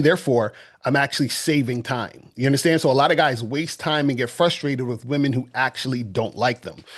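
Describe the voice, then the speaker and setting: A man and dating coach explaining his view in an online debate, often checking that he is understood. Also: mid-range voice. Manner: explanatory, keeps checking "you see what I'm saying".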